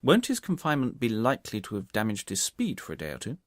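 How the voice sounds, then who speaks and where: A man voicing a shrewd, self-assured gentleman detective from a storybook, explaining himself precisely and methodically. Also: lowish voice, wildly swooping pitch, fast, dry recording, clean studio sound. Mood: cool, polite.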